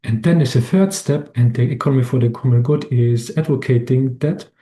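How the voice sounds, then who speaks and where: Austrian guy, presenting slides on a webinar, mellow voice, keeps restarting his sentences. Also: medium pitch. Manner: halting, many restarts and fillers.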